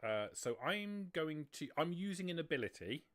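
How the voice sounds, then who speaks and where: A man's voice: a little high, sing-song, normal speed, a bit bright, no room sound. A man running a tabletop roleplaying game, casually narrating and talking through rules as game master.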